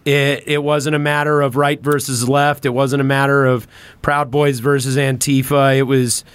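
A man's voice: medium pitch, level tone, moderate pace, clean tone, no room sound. A man hosting a podcast in casual conversation, rambling along with informal slang and swearing. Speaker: podcast host.

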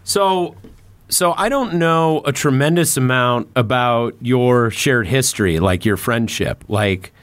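A man's voice: mid-range voice, slow, fairly bright tone, no echo. A man hosting a casual podcast chat, rambling and searching for words as he goes. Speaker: podcast host.

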